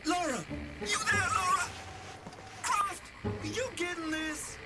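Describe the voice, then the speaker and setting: A man playing a cocky, excitable young American hacker sidekick in a video game, slangy, jokey and streetwise. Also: very high voice, slow pace, over loud music, low-quality recording. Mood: excited. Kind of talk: video game cutscene acting.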